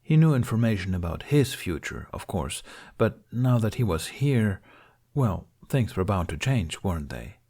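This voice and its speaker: Lowish-voiced man narrating fiction. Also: very animated, normal speed, a little mellow, breathy edge. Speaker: audiobook narrator.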